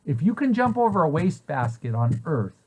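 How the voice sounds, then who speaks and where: Man reading aloud, very animated pitch. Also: a little fast, breathy edge. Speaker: preschool site director reading aloud. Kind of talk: children's read-aloud of a picture book.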